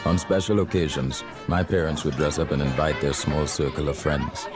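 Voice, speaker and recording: An actor narrating as an elderly man from Trinidad, recalling his colonial childhood in a measured, reflective voice-over. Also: very deep voice, steady tone, music in the background. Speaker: narrator, older Ulric Cross. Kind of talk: scripted film voice-over narration. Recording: voice-over with loud film score.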